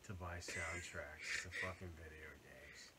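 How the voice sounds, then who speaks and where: A man's voice: a bass voice, steady tone, measured pace, heavy background noise. An older man sitting in as co-host beside his son, grumbling bluntly and swearing a lot. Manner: grumpy, blunt, heavy swearing. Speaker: host's father, co-host. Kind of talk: casual chat. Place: living room with record shelves and couch. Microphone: fixed camera at couch distance.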